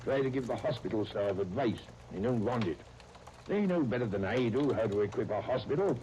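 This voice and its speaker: An actor playing a proud, touchy older uncle in a 1930s radio serial, grumbling in indignant questions. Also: male voice, medium pitch, expressive, fairly quick, dark tone, close to an everyday voice. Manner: gruff, indignant, clipped rhetorical questions. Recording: muffled, noisy 1930s radio transcription disc.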